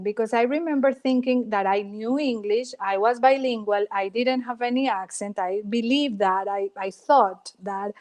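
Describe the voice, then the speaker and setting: A middle-aged woman from Colombia, speaking English with a Colombian Spanish accent as a native Spanish speaker, telling stories expressively in an interview. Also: on the high side. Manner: expressive, dramatic storyteller. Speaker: guest, former TV reporter. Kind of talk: storytelling interview.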